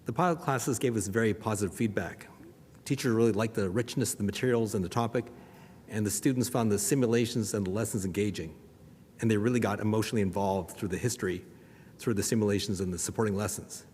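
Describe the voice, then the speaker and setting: A man presenting an education project, giving prepared remarks at an exhibit opening in a steady, measured way. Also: lowish voice. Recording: long reverb, faint background noise.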